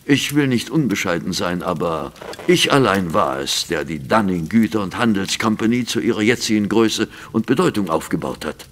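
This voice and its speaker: Grave gentleman client for audio drama: past middle age, low pitch, animated intonation, formal, practical, earnest. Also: moderate pace, slight background noise. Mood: earnest, explaining. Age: middle-aged. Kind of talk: German audio drama acting.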